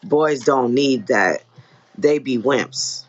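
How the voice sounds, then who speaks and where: A man's voice: mid-range voice, very slow, lo-fi sound, background noise, voice pushed down. A man voicing an innocent, shy, affectionate little boy in a read-aloud story, with childlike broken grammar. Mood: shy, hurt.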